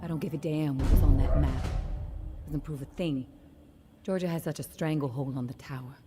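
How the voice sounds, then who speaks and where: An actress playing a hardened, fiercely protective survivor mother in a video game, confiding in a blunt, deliberate way. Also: sits low, steady tone, over music, close to an everyday voice. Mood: defiant. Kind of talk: dramatic video game monologue, recorded message.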